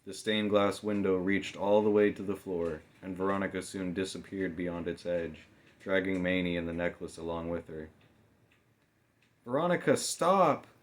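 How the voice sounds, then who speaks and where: Low-pitched male audiobook narrator. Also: little pitch movement, moderate pace. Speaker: author narrating own novel. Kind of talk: scripted audiobook narration, dramatic storytelling.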